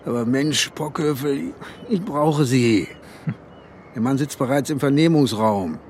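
A man acting an older, gruff police inspector close to retirement in a German radio drama, with a wry, commanding, colloquial manner. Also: mid-range voice, measured pace, a little mellow, a little hiss or hum, close to an everyday voice. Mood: urgent, pressing.

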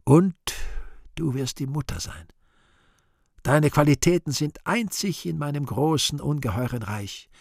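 A man's voice: a little high, a little slow, creaky, no echo. Grandiose devil guy acting, coaxing and imperious, radio drama, super dry studio sound.

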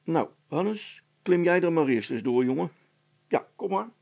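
A man's voice: a little high, expressive, unhurried, vocal fry, a little mellow, exaggerated voice. A man voicing a grumbling, wary father in a storybook, talking in a plain, colloquial way. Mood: gruff, irritated. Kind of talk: storybook character voice.

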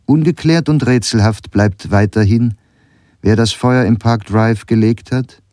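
A man's voice: medium pitch, measured pace, a little mellow, dry recording, studio quality. A man reading scripted documentary narration in German radio style, sober and factual.

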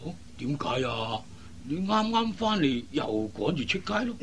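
A man's voice: fairly high pitch, sing-song, moderate pace, slight background noise. A man acting an ageing, mildly grumbling father in a radio drama, with a sighing, scolding manner. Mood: mild reproach. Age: older.

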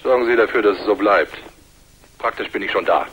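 Curt sarcastic homicide inspector, low forceful voice, noisy telephone line, radio drama. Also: male voice, fairly quick. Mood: urgent, commanding. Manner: curt, mocking, commanding. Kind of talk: German radio crime drama acting.

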